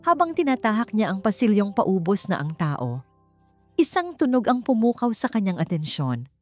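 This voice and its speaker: Female narrator for dramatic fiction, low and soft-toned, over faint music. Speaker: storyteller. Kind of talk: scripted dramatic story narration.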